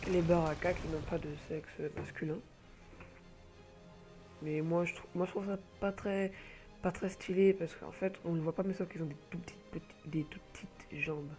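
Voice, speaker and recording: A man's voice: high-pitched, steady tone, moderate pace, pauses often, a little mellow, faint music behind. A man with a cold, talking casually and hesitantly over gameplay from a home computer mic, rambling with many filler sounds. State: has a cold. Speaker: gamer presenting an online game.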